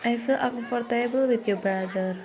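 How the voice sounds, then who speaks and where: Young woman as humble, polite schoolgirl, Indonesian accent, higher voice, crowd ambience, student radio play. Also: brisk, natural voice. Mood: modest. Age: young adult. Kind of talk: amateur student radio drama. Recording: noisy background with crowd ambience.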